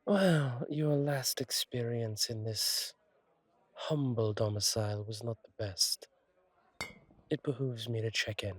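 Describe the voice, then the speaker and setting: A man playing a friendly, attentive fallen-angel bartender in an intimate roleplay audio, reassuring and chatty, with gentle wry humour and a husky voice. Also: medium pitch, slow pace. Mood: welcoming, reassuring. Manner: reassuring, chatty, wryly playful, slightly formal wording. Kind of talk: intimate one-sided roleplay audio acting.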